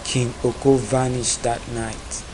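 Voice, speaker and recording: A man narrating a dark epic folktale in a solemn, dramatic storytelling manner. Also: medium pitch, level tone, takes it slowly, background noise.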